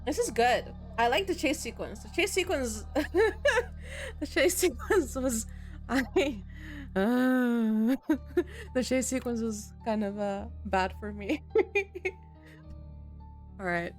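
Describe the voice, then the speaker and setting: A woman giving casual, reactive let's-play commentary on a horror game, thinking out loud in an informal way. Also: a little high, animated, takes it slowly, pauses often. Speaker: game streamer playing a horror visual novel. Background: game music.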